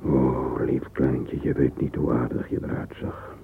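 An actor playing a wistful middle-aged man lost in thought, murmuring a halting interior monologue in a radio play. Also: a bass voice, little pitch movement, gravelly, exaggerated voice. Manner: murmuring, halting, fragmentary musing, sometimes whispered. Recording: narrow-band lo-fi old radio recording, hissy.

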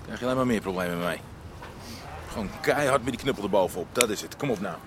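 An actor playing a bullying gang leader in a radio play, blunt, commanding and mocking. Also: male voice, on the low side, animated, fast, background noise, natural voice. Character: brusque, bullying thug leading a gang.

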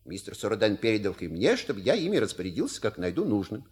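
A man playing a shrewd, courteous manservant in an audio play, with measured, ironic and rhetorical delivery. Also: fairly low pitch, huge pitch swings, a little mellow. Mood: quietly persuasive. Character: suave, shrewd manservant and proud father. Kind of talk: theatrical audio play acting.

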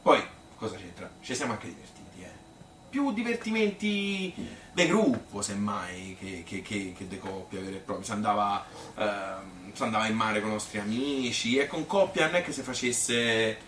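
A man's voice: lowish voice, expressive, normal speed, a bit bright. A man playing a plain-spoken Tuscan mother who reminisces in a rambling, hesitant, conversational stage monologue full of self-questioning. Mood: reflective. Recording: roomy, distant stage recording with noise.

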